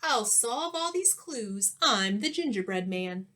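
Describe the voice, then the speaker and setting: Woman as little gingerbread boy, plucky, determined, high-pitched, very animated, sing-song, exclaiming, children's storybook. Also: slow pace. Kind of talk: children's storybook read-aloud.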